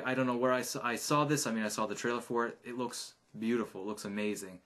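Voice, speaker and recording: A man's voice: fairly low pitch, a bit bright. A man casually rambling his opinions on video games, chatty and offhand with many fillers. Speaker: gaming YouTuber.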